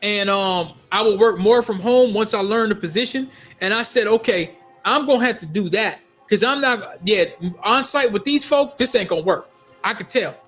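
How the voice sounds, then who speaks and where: A middle-aged man from Minnesota telling a personal story in a casual, animated way, repeating phrases for emphasis. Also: high-pitched, normal speed, soft background music. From United States, Minnesota. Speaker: storyteller vlogger.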